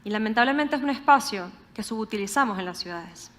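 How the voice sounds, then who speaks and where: A young woman with a Caracas accent delivers a prepared talk in an earnest, measured way. Her voice sits a little high. She often stops to put a rhetorical question to her listeners. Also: expressive, fairly bright tone. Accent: Venezuelan Spanish, Caracas. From Venezuela. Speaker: speaker giving a talk.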